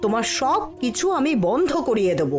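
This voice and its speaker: A stern village wife, voiced by a woman in a cartoon tale. Her voice is high, nagging and reproachful, set over background music. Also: big pitch swings, a little fast. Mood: scolding, warning. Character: stern, scolding wife of a proud shopkeeper. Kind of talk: cartoon story voice acting.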